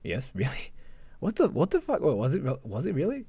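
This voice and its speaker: A man's voice: on the high side, wildly swooping pitch, brisk, dark tone, husky, no echo. A young man reacting casually to a video on a livestream, using a lot of slang and hesitantly repeating himself. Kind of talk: casual live reaction commentary.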